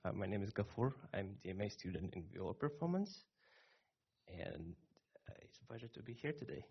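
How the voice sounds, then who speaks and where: Young man, soft-spoken, hesitant, husky, low voice. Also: very animated, a little fast. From Uzbekistan. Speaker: music doctoral student. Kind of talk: brief self-introduction. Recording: muffled lo-fi recording.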